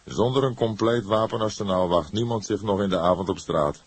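A man plays an older police detective in an audiobook with a low, raspy voice. He is calm and wry, lecturing without hurry and with a note of disapproval. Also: brisk, no room sound. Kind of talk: audiobook character voice.

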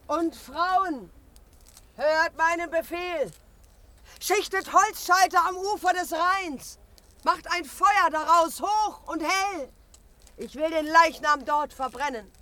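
A woman's voice: high voice, bright, forceful tone, heavily acted. A woman playing a commanding, vengeful and grieving warrior wife in a fantasy radio drama, stern and declamatory.

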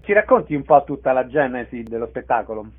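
A man's voice: medium pitch, lively intonation, fast, slightly rough. A man hosting a radio show, putting interview questions to guests and announcing events. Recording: thin, narrow-band phone-like radio sound.

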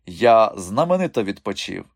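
A man's voice: on the low side, sing-song, moderate pace, vocal fry, no room sound, clean studio sound, exaggerated voice. Man playing a grand, self-assured president. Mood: gracious, pleased.

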